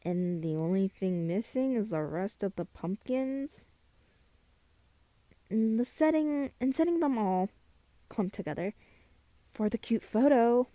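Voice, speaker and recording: Mellow female voice, slow, close and dry, woman acting a hesitant soft-spoken friend, self-doubting, scripted roleplay. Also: mid-range voice, lively intonation, no echo, close to an everyday voice. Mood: shy, reassured.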